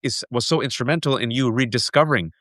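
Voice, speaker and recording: A man in his forties hosts a conversational interview. He tells lively personal stories, often stopping for asides. His voice sits mid-range, and it is recorded dry with no room echo. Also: medium pitch, quick pace. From United States. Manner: animated storytelling with asides. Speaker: host.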